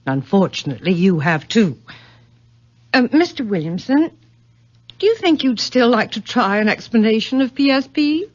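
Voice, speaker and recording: A woman's voice: fairly high pitch, very animated. A woman playing a genteel middle-aged mother, formal and controlling, in a 1970s radio drama. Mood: composed, controlling. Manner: formal, measured, soothing yet condescending. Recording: dull vintage radio recording.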